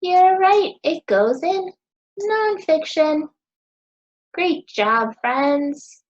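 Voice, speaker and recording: An American woman in her thirties teaching young children, asking questions in a sing-song, encouraging way with deliberate pauses. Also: high-pitched, animated, muffled recording. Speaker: teacher hosting a children's story time.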